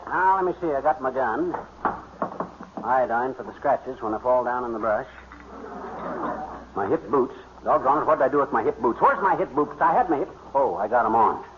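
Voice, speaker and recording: A man's voice: mid-range voice, expressive, normal speed, natural voice. A male actor playing a bluff, bumbling husband in a 1950s radio comedy, with a folksy, blustery, wisecracking delivery. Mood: fussing. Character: bluff, bumbling husband, a small-town braggart. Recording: dull, very noisy 1950s radio recording.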